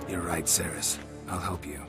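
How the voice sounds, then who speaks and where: Casting: a laconic fantasy game mercenary, low-pitched, stoic and deadpan. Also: male voice, a little slow. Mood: grim. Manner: terse, deadpan. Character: stoic, laconic monster-hunting mercenary man. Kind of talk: dramatic video game acting. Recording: loud music behind the voice.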